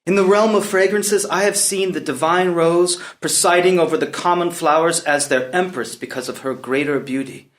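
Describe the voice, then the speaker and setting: A man's voice: on the high side, moderate pace, few pauses, fairly bright tone, natural voice. A man playing a proud, impetuous young prince in a dramatic play reading, declaiming emphatically and floridly. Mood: enraptured, wooing.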